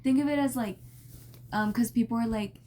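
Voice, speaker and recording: A young woman around twenty with a fairly high voice, chatting casually as a podcast guest. She is playful and chips in often. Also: brisk, mellow. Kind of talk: casual chat.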